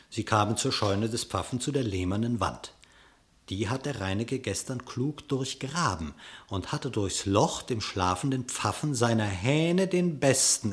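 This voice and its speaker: A man narrating a classic radio play in verse, with theatrical, measured declamation. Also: mid-range voice.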